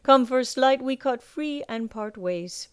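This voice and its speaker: A commanding male sea captain, as a woman reads him out, speaking in clipped, rough sailor talk. His voice sits higher and louder than the narration around him, and it comes through clear and dry, with no room sound. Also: expressive, slow, no room sound. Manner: rough sailor talk, tags lines with "aye".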